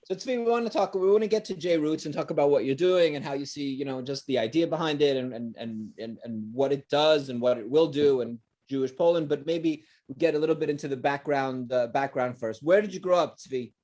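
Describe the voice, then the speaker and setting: A man hosting an interview, asking questions in a friendly, chatty way with quick follow-ups. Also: on the high side, warm tone. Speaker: interview host.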